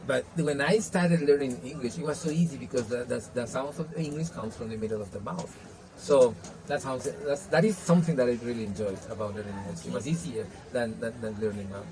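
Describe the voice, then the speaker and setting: A man's voice: mid-range voice, brisk, few pauses, a little mellow, a little hiss or hum, poor audio. Earnest man, Spanish native speaker. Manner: earnest, frequent "you know" and restarts. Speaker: interviewee. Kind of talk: casual interview answers.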